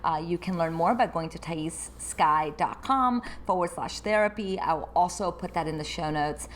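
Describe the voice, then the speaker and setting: Low-voiced woman thinking aloud. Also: normal speed, slight background noise. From United States. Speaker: therapist and podcast host. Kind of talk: solo podcast monologue.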